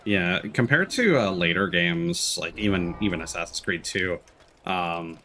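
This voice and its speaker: A man's voice: low voice, animated, a little slow, pushed and bright, a little hiss or hum. A man streaming a video game, giving casual, musing commentary with some hesitation.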